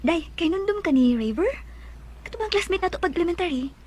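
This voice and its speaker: A woman's voice: very high voice, expressive, quick pace. A woman playing an emotional, protective and affectionate woman in a radio drama, with a Filipino accent. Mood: warm, chatty.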